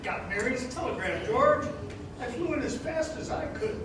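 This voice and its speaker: An actor playing an excited small-town well-wisher in a live stage radio play, declaiming emphatically. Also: male voice, very high voice, normal speed, noisy background. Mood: proud. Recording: echoey theater with crowd, distant mic.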